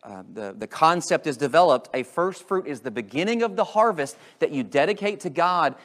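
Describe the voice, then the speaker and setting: An American man preaches as a pastor in a fairly high voice with very lively intonation. He is emphatic, leans on rhetorical questions, and moves dramatically between raised and lowered volume as he addresses his congregation. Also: moderate pace. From United States. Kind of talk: Easter sermon to a congregation.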